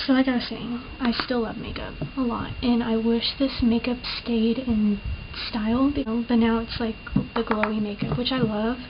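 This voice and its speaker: A woman's voice: on the high side, flat delivery, normal speed, hardly any pauses. A young woman chatting through a makeup tutorial in a casual, self-deprecating, rambling way, with a slight lisp from the camera mic. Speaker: beauty vlogger. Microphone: built-in camera mic. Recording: muffled lo-fi camera mic with clear hiss.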